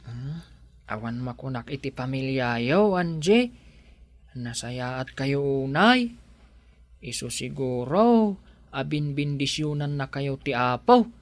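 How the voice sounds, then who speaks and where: A man's voice: medium pitch, animated, slow pace, long pauses, no room sound. A man playing a bashful, proud but poor young groom-to-be in a radio drama. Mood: humble, tender. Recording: dry radio studio with music bed.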